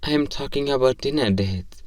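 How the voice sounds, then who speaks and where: A man's voice: mid-range voice, normal speed, darkish tone, no echo, distant mic, lightly acted. Naive, inquisitive robot voiced by a man for audio role-play; stilted politeness, literal delivery, mid-range voice, dry sound. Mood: curious, clinical. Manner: formal, literal, clinical wording, stiltedly polite. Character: earnest, curious, naive robot learning human love. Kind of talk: ASMR role-play comedy audio drama.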